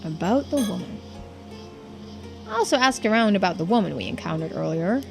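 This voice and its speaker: A woman's voice: medium pitch, expressive, brisk, creaky, over music, voice pushed down. A woman voicing a dutiful police subordinate in a mystery game, formal, deferential and matter-of-fact. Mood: reporting.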